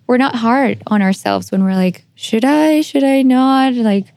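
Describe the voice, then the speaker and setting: A woman's voice: medium pitch, darkish tone, no echo. A woman with a mid-range voice hosts a casual conversation. She sounds earnest and reflective, speaking personally. The recording is dry, with very little room around her voice. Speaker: podcast host.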